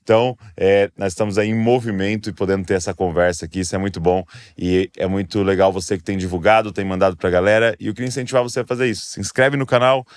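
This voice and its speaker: Low-voiced Brazilian man hosting a podcast. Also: fast talker, few pauses, smooth, no echo, studio quality. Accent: Brazilian Portuguese. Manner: relaxed, rambling with many fillers. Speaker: podcast host. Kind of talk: casual chat.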